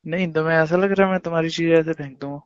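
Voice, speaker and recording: A man's voice: on the high side, fairly even intonation, racing, clean tone, no room sound. Young man reassuring on a muffled phone call. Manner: pleading, reassuring. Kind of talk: casual phone chat between a couple. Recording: dull, dry phone call recording.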